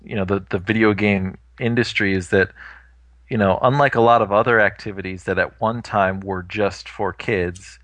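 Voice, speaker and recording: A man's voice: fairly low pitch, steady tone, moderate pace, a bit bright, no room sound. A man in his thirties in casual podcast chat, talking things through thoughtfully with lots of "you know" fillers. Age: 30s.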